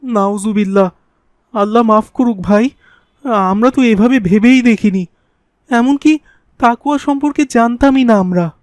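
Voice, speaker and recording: A woman's voice: on the high side, unhurried, long pauses, darkish tone, no echo, clean studio sound, close to an everyday voice. A woman voicing a curious, playful youngster in an Islamic cartoon, with an inquisitive and earnest manner. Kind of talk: scripted Islamic cartoon dialogue.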